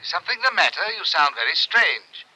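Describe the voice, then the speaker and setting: An actor playing a courteous, formal police lieutenant in a 1950s radio drama, speaking politely and precisely. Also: male voice, on the high side, sing-song, fairly quick, slightly rough, heavily acted, low-quality recording. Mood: concerned, businesslike. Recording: sharp old 1950s radio recording.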